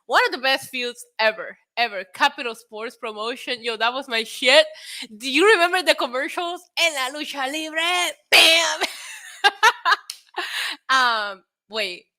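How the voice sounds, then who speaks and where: A woman in her late thirties hosting a casual wrestling live stream, excitable and a little tipsy, laughing, swearing and dropping in Spanish phrases as she answers chat. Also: high voice, a little slow. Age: 30s. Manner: frequent laughter and profanity. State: tipsy from drinks. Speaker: wrestling show host.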